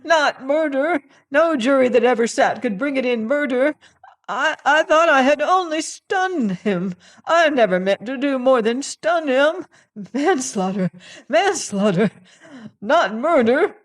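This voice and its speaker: A reader voicing a terrified guilty male clerk who pleads in a frantic, high-strung way. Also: normal speed, close to an everyday voice. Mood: begging.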